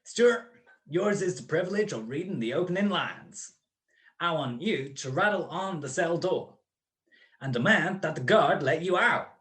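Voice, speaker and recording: A man's voice: on the high side, lively intonation, measured pace, fairly bright tone. A man acting a smug, cold-blooded criminal mastermind in a radio play, with precise, mocking, grandiloquent speech. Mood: commanding, pleased.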